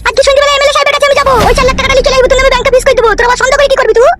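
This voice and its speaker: A woman voicing a bossy, sharp-tongued village wife in a comic cartoon, with a pitched-up voice. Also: level tone, rapid-fire. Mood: blunt scolding.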